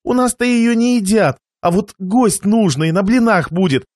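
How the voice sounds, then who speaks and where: A man acts out a miserly host from a satirical storybook. The voice sits high and moves around a lot, plaintive and fawning. Every courtesy is forced, with suffering and resentment underneath. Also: lively intonation, moderate pace, darkish tone, no echo, clean studio sound. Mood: wheedling. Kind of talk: dramatised reading of a satirical short story.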